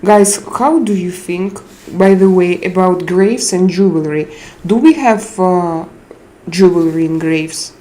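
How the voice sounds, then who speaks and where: A woman with a Russian accent chatting casually on a live stream, reading out viewer comments and hunting for English words with frequent pauses. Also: lowish voice, dark tone. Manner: slow, searching for English words with frequent hesitations.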